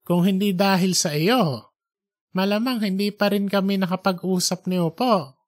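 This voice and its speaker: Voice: mid-range voice, normal speed, natural voice. A performer acting out a remorseful, pleading old father. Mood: grateful. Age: elderly. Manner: pleading, emotional.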